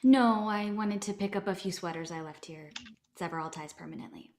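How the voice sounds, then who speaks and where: A woman's voice: mid-range voice, a little fast, darkish tone, slight background noise, natural voice. A woman playing a dry, cutting ex-girlfriend in a comedy table read. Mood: cool.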